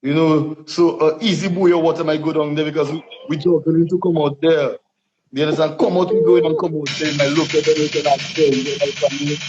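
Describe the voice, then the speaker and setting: A man with a Dominican accent answers interview questions in a laid-back way, pausing with ums as he thinks aloud. His voice sits a little high. The room echoes, and the recording sounds dull and lo-fi. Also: flat delivery, a little mellow. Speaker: DJ guest.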